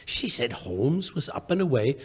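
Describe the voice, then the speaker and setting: A man playing a flustered, bumbling English gentleman in an old-time radio drama, blustery and hesitant. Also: medium pitch, very animated, normal speed, slightly rough. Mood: impatient.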